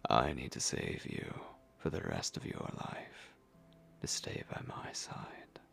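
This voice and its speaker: A man playing a possessive, commanding vampire lord in an ASMR roleplay, speaking in breathy, gravelly whispers with slow, menacing condescension. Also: very deep voice, sing-song, a bit bright, exaggerated voice. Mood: possessive, warning. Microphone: close microphone.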